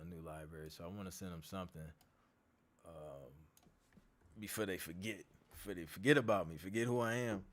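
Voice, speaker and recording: A hoarse man with a fairly low voice hosts a relaxed livestream from his home studio. He keeps clearing his throat, and his talk is easygoing and slangy as he greets viewers and talks about his music work. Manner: laid-back, slangy, reads out viewer comments. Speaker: music producer hosting a live Q&A. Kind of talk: casual livestream chat.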